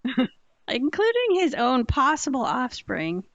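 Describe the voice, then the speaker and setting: A woman player chatting casually about a tabletop game, giggly and animated as she tells the story. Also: high-pitched, measured pace, dry recording. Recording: dull lo-fi audio.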